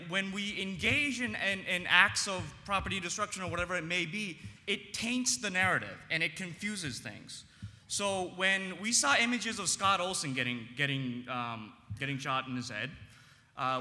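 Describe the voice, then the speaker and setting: A man speaking earnestly on a panel in a public debate, with a big voice and frequent ums, slowing down to stress key phrases. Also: high voice, bright, forceful tone, in a large hall. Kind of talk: impassioned public debate speech.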